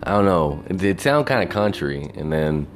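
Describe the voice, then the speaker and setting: A man's voice: deep voice, animated, normal speed, a little hiss or hum. A man talking alone in a rambling, reflective way, wistful and full of "you know", with faint music behind him. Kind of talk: rambling personal monologue.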